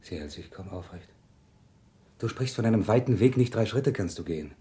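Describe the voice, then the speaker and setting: Radio play: actor as concerned common-man husband, deep mellow tone, firm and blunt. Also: lively intonation, normal speed, lightly acted. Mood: worried, insistent. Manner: blunt, insistent. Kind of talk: German radio play acting.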